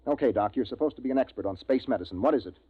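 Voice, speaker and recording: An actor playing a strained, authoritative spaceship captain in a 1950s radio science fiction drama. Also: male voice, mid-range voice, animated, very noisy, lightly acted. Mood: commanding. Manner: brisk, authoritative, clipped orders. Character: commanding, strained male spaceship captain, also narrating. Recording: tinny vintage radio recording with music.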